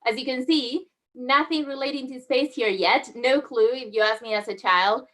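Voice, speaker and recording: A middle-aged woman from southern Spain giving a friendly, measured career talk over slides in an online session, with frequent fillers. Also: high voice, a bit bright. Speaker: guest speaker. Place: online video call.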